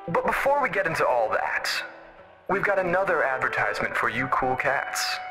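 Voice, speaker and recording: A man's voice: medium pitch, sing-song, normal speed, bright, forceful tone, soft background music, low-quality recording. An actor playing a smug showman radio host in an audio drama, who uses old slang. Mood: showy.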